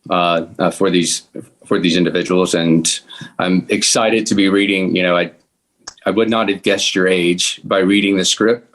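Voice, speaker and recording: A man's voice: sits low, a bit bright. A man giving informal remarks as a guest on an online event, rambling a little and hesitating. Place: remote video call.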